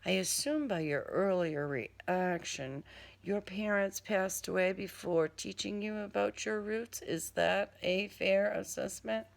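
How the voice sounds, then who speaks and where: A man's voice: high-pitched, takes it slowly, creaky, full character voice. A woman voicing a coolly polite, menacing male serial killer in an audiobook, with formal, genteel wording. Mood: persuasive, controlled.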